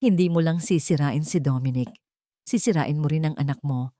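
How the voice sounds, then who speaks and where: A woman's voice: low-pitched, moderate pace, mellow, no echo, studio quality, close to an everyday voice. A woman voicing a cold, proud, wealthy matriarch in an audio drama, speaking with bitter menace. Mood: venomous, bitter. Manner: bitter, threatening, whispering venom.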